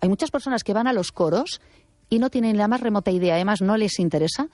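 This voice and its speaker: A woman's voice: medium pitch, fast, darkish tone, no room sound, faint music behind. This is a woman who talks in an assertive, opinionated way. She argues her points firmly and corrects the other speaker. Her voice is recorded very dry, with no room sound. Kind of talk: opinionated radio talk.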